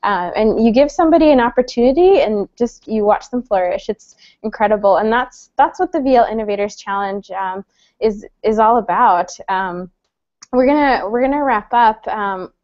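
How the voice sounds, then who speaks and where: A woman's voice: on the high side, expressive, moderate pace, darkish tone, no room sound. A woman in her thirties from El Salvador, moderating an online panel in a friendly, chatty way with many fillers and restarts. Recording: dull lo-fi dry sound.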